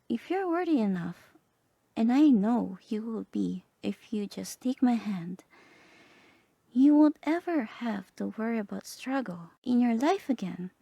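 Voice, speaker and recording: A woman voicing a gentle, earnest guardian angel in a soft half-whispered ASMR roleplay, slow, solemn and tender. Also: fairly high pitch, vocal fry, no echo, heavily acted.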